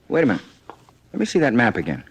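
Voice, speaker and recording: An actor playing a practical, probing police superior in a 1950s radio drama, clipped and businesslike. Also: male voice, medium pitch, huge pitch swings, fast, husky, heavily acted.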